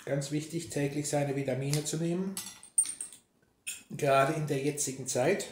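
A man chatting casually to the listener in an ASMR style, unhurried and pausing mid-sentence, indoors at a breakfast table. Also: a little high, fairly even intonation. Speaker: host. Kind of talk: casual ASMR role-play chat to the listener. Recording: roomy, echoey sound with faint noise. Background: clinking dishes and clicks.

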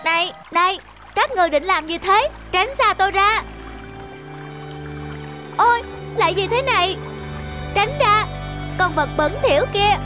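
A woman's voice: very high-pitched, animated, a little slow, long pauses. A woman voicing a vain, fussy young female rice plant in a cartoon folk tale, complaining dramatically. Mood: disgusted, annoyed. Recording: music under the voice.

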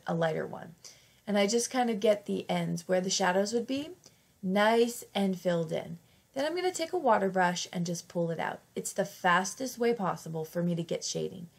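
A woman with a slight cold talks through her craft project in a chatty, easygoing voice-over. Her voice has a gravelly, creaky edge, and she often corrects herself mid-sentence. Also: medium pitch, expressive, moderate pace. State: fighting off a cold. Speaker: craft channel host. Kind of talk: casual craft tutorial voice-over.

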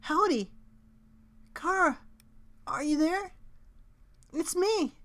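A sweet little goat-monster boy from a video game. The voice is very high and childlike. He speaks in a friendly way, stretching words a little. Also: expressive, very slow, a bit bright, exaggerated voice. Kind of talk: video game character impressions.

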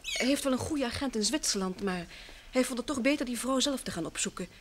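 A woman's voice: a little high, expressive, brisk, background noise, natural voice. An actress playing a distraught, earnest wife in a vintage radio play, emphatic and sometimes hesitant as she insists. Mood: earnest, explaining. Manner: earnest, emphatic, hesitant and repeating herself when upset. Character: distraught, insistent wife convinced her missing husband lives.

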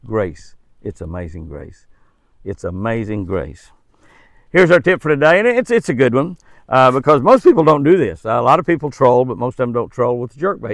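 An older man talks straight to camera in a folksy way. His pitch sits in the middle for a man, and he likes to repeat a phrase to drive it home. The sound is dry and open, recorded outdoors. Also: no echo. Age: 70s. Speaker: host of a devotional and fishing tip show. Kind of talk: folksy devotional talk and fishing tips to camera. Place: outdoors in a wooded park.

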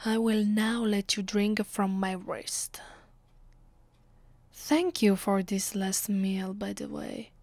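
An Italian woman voicing a haughty, possessive vampire mistress in an ASMR roleplay. She speaks English with an Italian accent in a soft, breathy, often half-whispered voice. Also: medium pitch, takes it slowly, dry recording. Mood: commanding, tender. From Italy. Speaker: voice actress. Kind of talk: ASMR roleplay, intimate scripted monologue.

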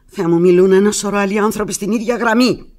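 An actress playing an anguished, lovelorn woman alone on the telephone in a theatrical radio monologue, pleading and fretful, with halting replies. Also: mid-range voice, darkish tone, natural voice. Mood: agitated, exasperated.

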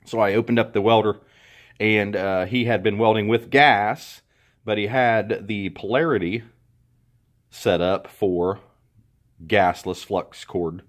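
A middle-aged man talks through a hands-on how-to in an indoor garage, picked up by the camera's own mic. His voice sits somewhat low. He keeps it relaxed and plain-spoken, restarting a phrase here and there as he goes. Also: takes it slowly, long pauses. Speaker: host. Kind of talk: casual how-to walkthrough.

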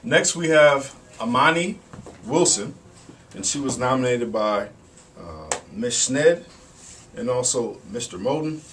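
A man's voice: medium pitch, animated, measured pace, fairly bright tone. American male school staffer, thirties, mid-range voice, presenting awards, slight room noise. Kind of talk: award presentation, reading aloud. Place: wood-panelled office, indoors. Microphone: camera at a distance. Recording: slightly noisy room, distant mic.

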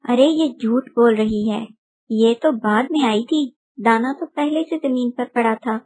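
A woman's voice: a little high, measured pace, a little mellow. A woman voicing a quarrelsome, cunning female bird in a children's story, accusing and indignant.

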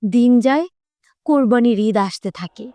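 Higher-pitched woman telling a children's story. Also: measured pace, warm tone, soft background music. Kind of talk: scripted children's story narration.

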